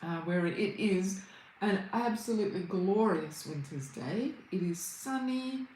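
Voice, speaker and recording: A woman's voice: mid-range voice, lively intonation, a little slow, darkish tone, roomy sound, slight background noise, poor audio. An Australian woman, a Reiki healer hosting a casual livestream, chatting and greeting viewers by name.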